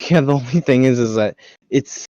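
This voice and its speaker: An American man hosting a casual podcast, chatty and quick to laugh as he shares anecdotes. His voice is mid-pitched and mellow, recorded dry and a bit dull and lo-fi. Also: no room sound. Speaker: podcast host.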